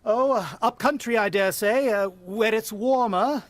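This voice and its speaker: A man's voice: high voice, a little slow. An actor playing a worried, watchful country father who also narrates, in the style of a vintage radio drama. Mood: anxious, coaxing.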